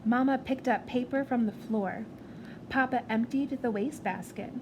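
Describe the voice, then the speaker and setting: Woman doing storytime read aloud, expressive, kinda high voice, noisy background. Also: normal speed. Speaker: children's story reader. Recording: clear background noise.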